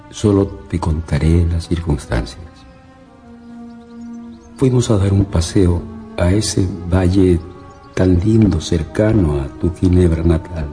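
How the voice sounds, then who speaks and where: Radio drama role: grave, bereaved older father, very slow and measured, soft music underneath. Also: low-pitched. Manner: solemn, measured, sorrowful. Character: Victor's grieving, tender, grave older father. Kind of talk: radio drama, reading a letter aloud.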